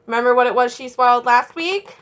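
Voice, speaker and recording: A woman reading a picture book aloud as a children's teacher, encouraging young listeners with questions and pausing for their answers. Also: a little high, lo-fi sound, heavy background noise.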